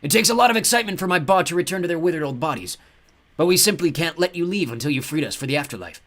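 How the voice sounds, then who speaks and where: A man's voice: fairly high pitch, expressive, very fast, fairly bright tone, pitched above the performer's own voice. A man voicing the ghost of a mad old Roman who taunts his captives and screams threats. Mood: mocking. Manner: taunting, then shrieking with rage.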